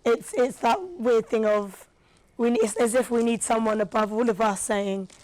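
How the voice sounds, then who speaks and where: A woman, a rapper and radio presenter, talking casually as a guest with a slightly raspy, tired voice and lots of fillers. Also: a little high, fairly even intonation, normal speed, a little mellow, dry recording. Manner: chatty, thoughtful, with frequent fillers. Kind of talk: casual podcast conversation.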